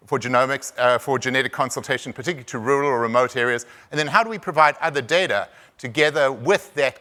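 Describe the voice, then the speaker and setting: South African man with a bright voice. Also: medium pitch. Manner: halting, frequent restarts and repeated words. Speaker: conference presenter. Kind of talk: conference talk.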